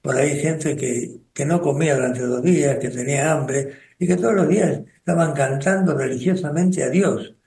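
An older man with an Argentine Spanish accent speaks slowly and thoughtfully, with pauses and restarts, as he recalls his past in a radio conversation. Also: on the high side, gravelly, a little mellow, echoey room. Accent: Rioplatense Spanish. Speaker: spiritual group coordinator and radio guest.

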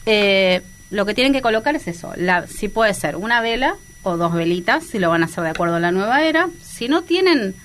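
A woman's voice: medium pitch, lively intonation, brisk, few pauses, a bit bright, a little hiss or hum. Confident woman giving tips. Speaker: guest spiritual guide. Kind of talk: explanatory chat.

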